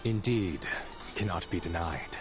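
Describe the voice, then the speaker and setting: A solemn, loyal royal agent in a video game. He speaks formally and grandly in a low voice. Loud music runs under the dull audio. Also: a little slow. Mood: proud, solemn.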